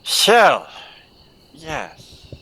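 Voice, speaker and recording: A woman playing a menacing, volatile circus tiger tamer in a theatrical old-time radio drama style. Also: very slow. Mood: sly, probing. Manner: theatrical, swooping between hushed menace and shrill gushing. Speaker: amateur voice actor in live table read.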